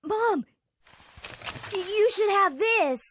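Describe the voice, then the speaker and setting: Excitable, very high-pitched little boy. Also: very slow, a little hiss or hum, heavily acted. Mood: eager. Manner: exclaiming, expressive, hesitant. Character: earnest, excitable young boy adventurer. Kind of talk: children's animated fairy tale acting.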